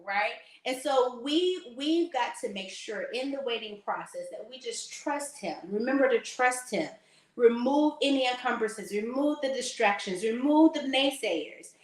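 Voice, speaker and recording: Slightly hoarse woman faith-talk host, sassy and emphatic, high-ish voice, roomy echo. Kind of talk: casual preaching to a live audience.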